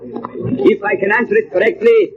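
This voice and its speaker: An actor playing a grave, commanding stage mentalist in a radio drama, speaking formally and deliberately. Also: male voice, high-pitched, little pitch movement, a crowd in the background, lightly acted. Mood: challenging. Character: grave, theatrical stage mentalist addressing his audience. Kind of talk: 1940s radio acting. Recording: noisy, tinny old radio recording.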